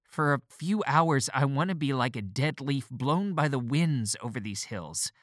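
A man voicing a weary, agitated male doctor who turns shrill and overwrought. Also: sing-song, normal speed, fairly bright tone, no room sound, clean studio sound. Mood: restless longing.

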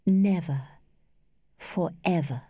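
Woman doing a worldly teasing lady from an old novel, archly playful, mellow, very up and down. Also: mid-range voice, big pitch swings, no room sound, studio quality, voice pushed up. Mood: gently persuasive. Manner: studied, measured, archly playful.

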